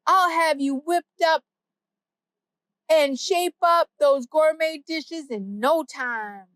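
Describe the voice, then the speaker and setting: A quick, jokey AI sidekick with a bright, high voice, firing off punchlines in a playful rush, as a woman puts it on. The sound is dry and close. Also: no room sound. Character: fast, snappy wisecracking comic AI sidekick.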